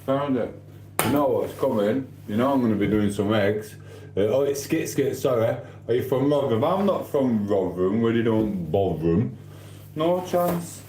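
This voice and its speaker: A man's voice: medium pitch, lively intonation, moderate pace, darkish tone, roomy sound, a little hiss or hum. A middle-aged man from Sheffield with a Yorkshire accent, chatting and mock trash-talking through a late-night live stream from his kitchen. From Sheffield, England. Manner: banter-heavy, mock trash-talking, rambling. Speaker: livestreamer.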